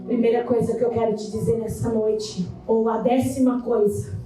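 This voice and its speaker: A hoarse woman with a Brazilian Portuguese accent preaching a passionate, sing-song sermon in a church. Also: fairly high pitch, little pitch movement, moderate pace. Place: church hall. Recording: echoey church hall from far off. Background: loud instrumental worship music.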